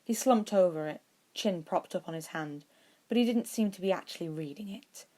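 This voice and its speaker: A woman's voice: lowish voice, normal speed, mellow. A woman reading scripted fiction aloud as an audiobook-style narrator.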